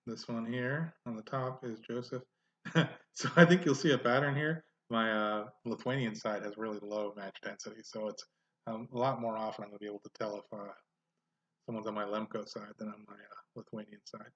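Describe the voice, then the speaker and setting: A man's voice: on the low side, steady tone, a little fast, lo-fi sound. Man talking through a screen-recorded tutorial. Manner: thinks aloud with frequent fillers. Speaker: genealogy tutorial host. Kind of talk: casual screen-recorded software walkthrough.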